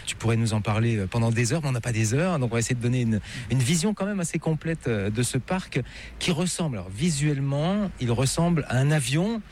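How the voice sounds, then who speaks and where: Male travel radio host, mid-pitched voice, playful and guiding, outdoors, faint ambience behind. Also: fairly quick, slight background noise. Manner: lively, playful, guiding the conversation. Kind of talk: travel radio reportage and interview. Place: outdoors in a national park.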